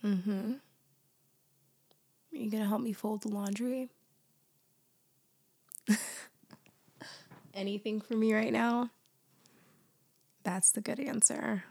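A young woman in a soft, often whispered ASMR girlfriend roleplay, drowsy and close to the mic, her voice worn down as she loses it to a cold. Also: medium pitch, steady tone, slow pace, creaky, pauses often, husky. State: losing her voice, congested.

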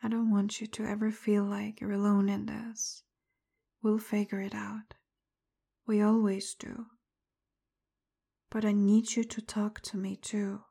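A woman speaking straight to the listener, first frustrated and confrontational, then soft, apologetic and tender, much of it in a breathy whisper. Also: mid-range voice, level tone, a little slow, long pauses. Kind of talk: roleplay audio addressed to the listener.

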